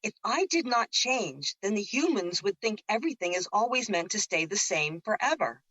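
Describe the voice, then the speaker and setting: A woman acts out a wise, playful moon spirit for an audio drama. Her voice is mid-pitched and bright, teasing yet reassuring. The recording is dry, with a slightly muffled top. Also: moderate pace, no echo. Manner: playful, teasing, calmly counselling.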